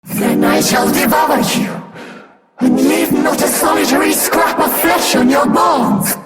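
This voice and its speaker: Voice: high-pitched, a little slow, raised voice. An actor playing a haughty, menacing devouring monster that claims to rule, in a video game. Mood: menacing, arrogant. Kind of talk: dramatic video game voice acting. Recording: hall reverb, music behind.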